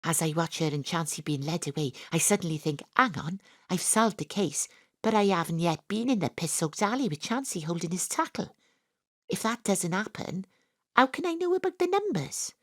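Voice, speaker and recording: An actress voices a daydreaming woman detective narrating her own action scene in a live audio drama. Her voice sits low and she hams up the heroics with a wink at the audience. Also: animated, normal speed, fairly bright tone, dry recording, clean studio sound. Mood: puzzled. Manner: melodramatic, self-mocking first-person narration. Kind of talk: live radio drama acting. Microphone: home studio mic.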